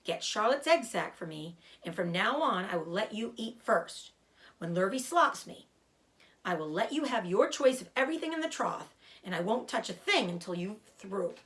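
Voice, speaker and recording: Lady doing a worried little boy pig from a kids book, begging, emotional, pitch jumps way up. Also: very animated, normal speed, a bit bright, poor audio. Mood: earnest. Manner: urgent, pleading, emotional. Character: young male pig, anxious, earnest and pleading.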